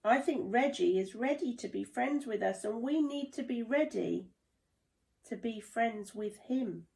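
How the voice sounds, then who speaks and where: Friendly, expressive animal girl voiced by a woman. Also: on the high side, measured pace, vocal fry, pitched above the performer's own voice, rough recording. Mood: earnest. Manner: earnest, inviting. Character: friendly, welcoming female animal, leader of friends.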